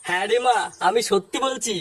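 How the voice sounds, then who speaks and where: An actor voicing a sleazy fake holy man in a cartoon, speaking in patronising, drawn-out reassurances. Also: medium pitch, distant mic, heavy background noise, lightly acted. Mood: oily, reassuring. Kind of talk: comic cartoon dubbing.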